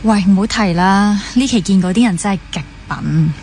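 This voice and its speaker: A woman's voice: medium pitch, normal speed, darkish tone, a little hiss or hum, close to an everyday voice. A young woman with a Hong Kong Cantonese accent playing a gossipy, pampered only child obsessed with dating in a naturalistic radio drama. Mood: breezy chatty. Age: young adult. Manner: chatty, gossipy, mixes in English words.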